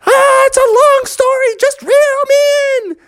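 A shrill, excitable boy frog with a very high, yelping voice. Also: unhurried, no echo, studio quality. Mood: panicked.

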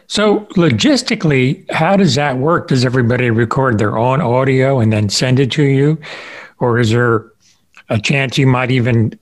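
A man hosting a podcast, interviewing a guest in a casual, conversational style. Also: mid-range voice.

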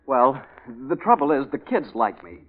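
A soft-hearted American movie cowboy in a 1940s radio play. He speaks with earnest feeling and very mobile intonation. The sound is thin and tinny. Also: medium pitch, sing-song, normal speed. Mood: sheepish, confiding. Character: earnest, sentimental cowboy movie star hiding a fear. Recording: tinny, band-limited vintage radio recording.

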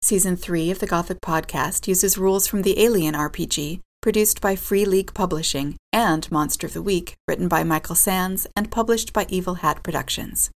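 A woman reading the show's closing credits in an even, scripted delivery. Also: lowish voice, normal speed, few pauses. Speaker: announcer.

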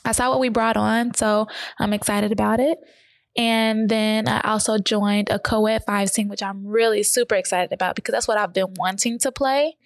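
A woman with a high voice co-hosting a podcast in casual, informal conversation. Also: steady tone, normal speed, gravelly, no room sound, studio quality. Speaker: podcast co-host. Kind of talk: casual banter.

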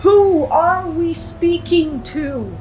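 A woman playing a self-assured teenage girl leading a séance in a horror audio drama, husky, solemn and theatrical. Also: very high voice, animated, extremely slow, warm tone, faint music behind, exaggerated voice. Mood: solemn, summoning.

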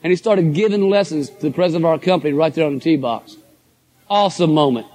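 A middle-aged man with a hoarse voice, giving an enthusiastic, folksy motivational talk to a live audience. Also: on the high side, quick pace, a little mellow. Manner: folksy, enthusiastic, addresses crowd as guys and folks. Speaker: motivational speaker at a business convention.

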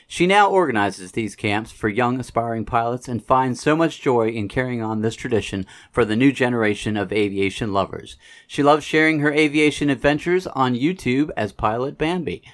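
An American man hosting a gliding podcast, reading the intro steadily and then interviewing a guest. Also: medium pitch. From United States. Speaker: podcast host.

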